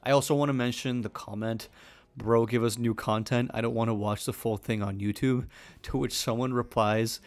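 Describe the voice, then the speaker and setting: Man, scripted video narration, explainer style, medium pitch. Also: fairly even intonation, moderate pace.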